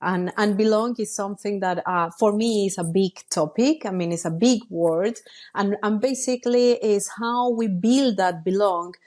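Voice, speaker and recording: A woman's voice: mid-range voice, moderate pace, darkish tone. A woman hosting a podcast, asking interview questions and often restarting her phrases. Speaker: podcast host.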